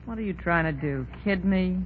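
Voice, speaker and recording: A woman's voice: on the low side, normal speed, slightly rough. Curt, wary American racket woman. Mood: wary, sceptical. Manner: curt, sceptical. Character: wary, sharp-tongued woman from the numbers racket. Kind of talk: 1930s radio drama acting. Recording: dull, faintly noisy 1930s radio recording.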